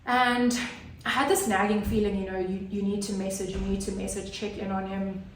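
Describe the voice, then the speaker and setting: A woman's voice: medium pitch, little pitch movement, a little fast, slight background noise. A woman, telling her personal story as an interview guest in an earnest, hesitant way with many fillers. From Zimbabwe. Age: 30s. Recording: roomy, distant mic.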